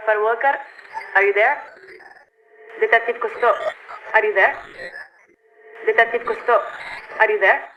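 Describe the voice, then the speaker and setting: Measured warship officer over tinny radio. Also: female voice, a little high. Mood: checking, concerned. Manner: measured, formal, articulate, speaks as "we". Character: composed, courteous, idealistic coalition warship officer speaking by radio. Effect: radio transmission filter. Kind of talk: video game voice acting. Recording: narrow tinny radio link with static.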